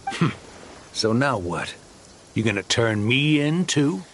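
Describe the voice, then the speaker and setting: An actor playing a greedy smuggler posing as a philanthropist, boastful and wheedling, in a game cutscene over faint music. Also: male voice, mid-range voice, big pitch swings, slow, a bit bright, slight background noise.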